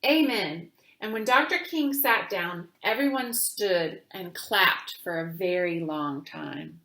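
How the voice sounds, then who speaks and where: A woman reading a children's book aloud in a deliberate, teacherly way, pausing to speak to young listeners. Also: fairly low pitch, gravelly, bright, forceful tone, roomy sound. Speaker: reader of children's books for a virtual summer camp. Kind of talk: read-aloud storytelling with asides to children.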